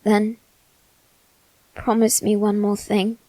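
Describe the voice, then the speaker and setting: A woman playing a wounded, possessive teenage boy aristocrat in an ASMR roleplay, speaking haltingly with long pauses. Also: mid-range voice, completely flat delivery, warm tone, dry recording, lightly acted, low-quality recording. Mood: solemn, devoted. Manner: slow, halting, measured delivery with long pauses. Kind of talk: ASMR character roleplay, scripted drama.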